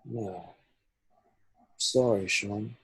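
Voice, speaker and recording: A man's voice: lowish voice, drawn-out words, husky, no echo, exaggerated voice. A man playing a laid-back, fading young slacker in a table read, laconic and unbothered though wounded. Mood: resigned.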